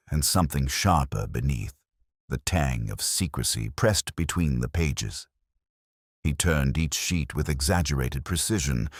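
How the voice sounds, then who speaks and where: A man reading scripted literary detective fiction in the first person, in a measured, formal, brooding manner. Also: extremely low pitch, no echo, studio quality. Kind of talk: scripted literary mystery narration.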